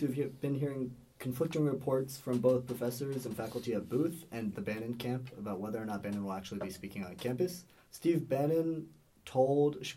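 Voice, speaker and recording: A man's voice: medium pitch, dark tone, slight background noise. A young man co-hosting a student news show from a recording booth, reading stories and adding comments.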